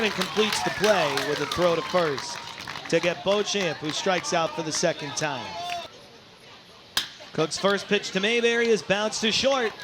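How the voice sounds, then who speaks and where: High-pitched male baseball play-by-play announcer. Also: very noisy. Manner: excitable on big plays, descriptive between them. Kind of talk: live sports play-by-play commentary. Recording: crowd and music behind commentary. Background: ballpark crowd, music.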